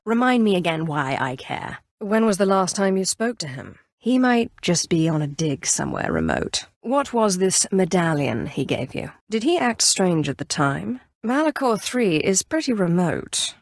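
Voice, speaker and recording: A woman's voice: on the low side, very animated, moderate pace, creaky, few pauses, no echo, studio quality, heavily acted. A woman playing a haughty, sly young Sith sorceress in a video game, with a condescending, dryly sardonic delivery. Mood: dry, questioning. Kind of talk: scripted video game voice acting.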